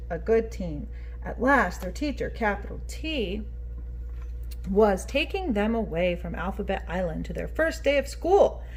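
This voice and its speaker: A woman's voice: mid-range voice, darkish tone, slight background noise. A woman reading a picture book aloud expressively and prompting young listeners with questions in a teacherly way. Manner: teacherly, addressing small children, prompting them with questions. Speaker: children's read-aloud storyteller.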